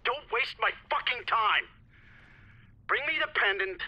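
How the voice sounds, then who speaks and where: A man's voice: very high voice, expressive, measured pace, highs cut off, full character voice. A man playing a brutal, menacing middle-aged villain in a video game, speaking in curt, profane threats. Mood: angry threat. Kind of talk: video game cutscene acting.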